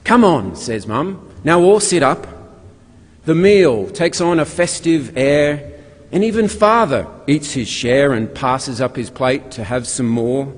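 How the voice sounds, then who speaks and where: A man reads verse aloud in a big reverberant hall. His delivery is unhurried and storytelling, with a very lively rise and fall in a slightly high voice. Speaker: guest reader at a carols concert. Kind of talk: expressive poetry recitation. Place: large hall, live event. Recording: echoey large hall with long reverb.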